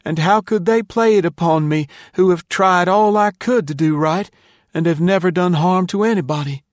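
Man acting teenage boy, high-pitched, polite, earnest, pleading, frightened, storybook character, dry sound. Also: fairly even intonation, unhurried, dry recording, close to an everyday voice. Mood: wounded, protesting. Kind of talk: audiobook character dialogue.

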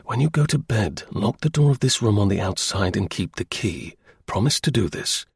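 A man voicing a brisk, observant gentleman detective in a storybook, incisive, courteous and authoritative. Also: on the low side, lively intonation, vocal fry, husky, dry recording, studio quality, exaggerated voice. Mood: intense, urgent.

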